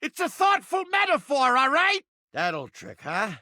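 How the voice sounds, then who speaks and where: A crusty little mouse trap from a video game, brash and streetwise. The voice is piercing and strident, leaping up and down in pitch, recorded very dry. Under the surly bluster sits a soft heart. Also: male voice, huge pitch swings, normal speed, dry recording, full character voice. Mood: gruff, defensive. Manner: brash, yelling, streetwise slangy wisecracking. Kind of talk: video game character acting.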